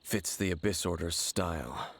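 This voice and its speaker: A man voicing a stern, aloof, world-weary warrior in a fantasy video game, speaking in a measured, guarded, solemn way. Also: low-pitched, creaky, fairly bright tone, slightly rough, dry recording, exaggerated voice. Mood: composed. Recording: game music behind the voice.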